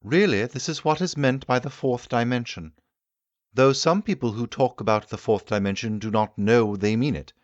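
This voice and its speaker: Guy doing an eager victorian inventor for an audiobook, pitched way up, lecturing and emphatic, dry dull recording. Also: moderate pace, no echo, natural voice. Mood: eager explaining. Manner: emphatic, didactic, declamatory. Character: Victorian gentleman inventor, eager, assured, lecturing his guests. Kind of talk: audiobook character acting.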